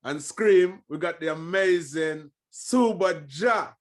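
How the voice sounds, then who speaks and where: Male podcast host, eager, pushes with questions, slightly high pitch. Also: slow. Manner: eager, pressing with repeated questions. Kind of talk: podcast interview.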